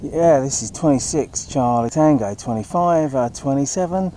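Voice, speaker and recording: A man's voice: mid-range voice, moderate pace, mellow, slight background noise. A man making a CB radio call, giving phonetic callsigns and repeating his phrases for clarity. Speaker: amateur CB radio operator on foot. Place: outdoors in a park. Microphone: handheld CB radio.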